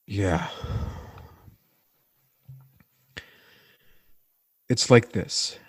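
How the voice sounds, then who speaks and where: An actor playing an earnest, plain-spoken working-class man in 1940s radio drama style, with a North American accent and halting, slangy speech. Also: fairly low pitch, no room sound, studio quality. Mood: reflective, reluctant. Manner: halting, groping for words, slangy American everyman.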